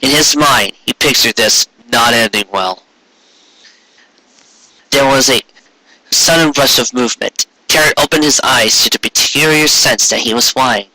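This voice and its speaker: A man's voice: mid-range voice, measured pace, bright, forceful tone, no echo, poor audio. A man narrating a fan fiction story in a dramatic amateur reading style.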